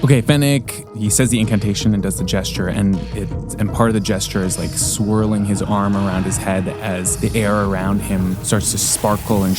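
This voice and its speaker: A man playing a tabletop game, casually describing what his fantasy character does. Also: lowish voice. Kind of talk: casual play with character narration.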